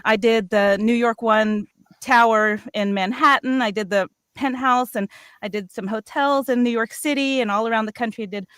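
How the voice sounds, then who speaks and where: A woman's voice: a little high, fairly even intonation, normal speed, fairly bright tone, smooth, no echo. A middle-aged American woman telling personal stories casually, in a playful, chatty way that often interrupts itself. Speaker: voice actor on a cast panel.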